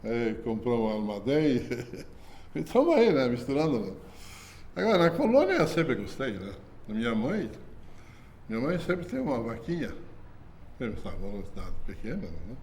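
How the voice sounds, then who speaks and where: A man's voice: mid-range voice, big pitch swings, moderate pace, pauses often. Older guy w/ rambling thru old stories, lots of né, medium voice, bit of faint noise behind. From southern Brazil. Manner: folksy and rambling, constant "né?" tags and soft chuckles. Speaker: company founder being interviewed. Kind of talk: casual reminiscing interview.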